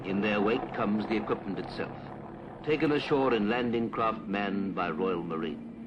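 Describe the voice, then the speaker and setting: A British man reads a scripted 1950s public information film narration in a formal, measured, clipped manner. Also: lowish voice, muffled recording, background noise. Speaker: documentary narrator. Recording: 1950s film soundtrack with music.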